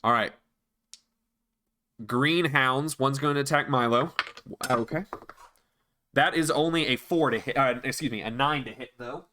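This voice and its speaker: Guy dming a tabletop game, bright crisp-edged voice, slow-ish pace calling out rolls and moves. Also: medium pitch, slight background noise. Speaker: game master.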